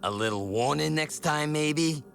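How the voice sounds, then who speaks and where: A man's voice: fairly high pitch, animated, unhurried, gravelly, music in the background. A man playing a grumbling, sardonic, always hungry adventurer sidekick in a comedy audio drama.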